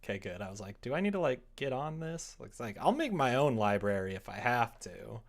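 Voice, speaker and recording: A man's voice: medium pitch, very animated, a little fast. Chatty young man streaming casually. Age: young adult. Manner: chatty, playful, reading and answering chat. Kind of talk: casual livestream chat. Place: small room at home, indoors.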